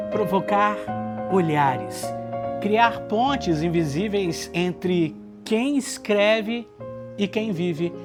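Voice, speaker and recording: A narrator with a Brazilian accent delivers reflective scripted narration in earnest, short, listed phrases. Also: medium pitch. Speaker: book project organizer and narrator. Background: background music.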